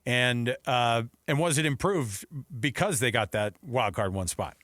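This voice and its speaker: An American man hosts casual sports talk on the radio. His voice is mid-pitched and recorded dry and close in a studio. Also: unhurried, fairly bright tone, no room sound.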